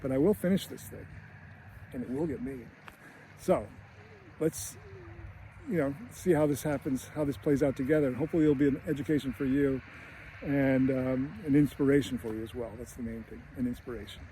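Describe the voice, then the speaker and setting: A man's voice: medium pitch, fairly even intonation, a little fast, mellow, low-quality recording. Middle-aged Boston man, casual vlogger, rambling and chatty, faint street noise behind. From United States. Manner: chatty and rambling, with laughs and restarts. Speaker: screenwriter and teacher. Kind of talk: casual vlog to camera. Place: outdoors near a beach.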